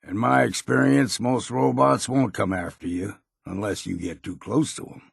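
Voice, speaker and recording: A grizzled old American hunter from a post-apocalyptic video game. His voice is low and thick with gravel, recorded dry and close. He speaks in a blunt, folksy way, gruff and wryly world-weary. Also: moderate pace, no room sound, exaggerated voice. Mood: matter-of-fact. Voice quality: gravelly, husky. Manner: folksy, plain-spoken, blunt. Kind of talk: video game character voice lines.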